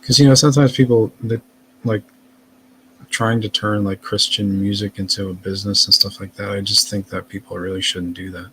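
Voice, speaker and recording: A man's voice: lowish voice, steady tone, brisk, fairly bright tone, a little hiss or hum, low-quality recording. A man chatting casually as a guest on a live stream, rambling and hesitant with many fillers.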